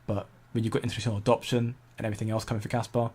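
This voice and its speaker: A man's voice: on the low side, flat delivery, rapid-fire, a little mellow, breathy edge. A man, hosting a casual crypto livestream and answering viewer comments in a chatty, self-correcting way. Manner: chatty, self-correcting, laughs easily at chat.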